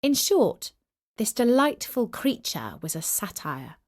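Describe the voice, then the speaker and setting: A woman narrating a scripted story for children in an expressive, measured and deliberate storyteller's manner. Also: fairly high pitch.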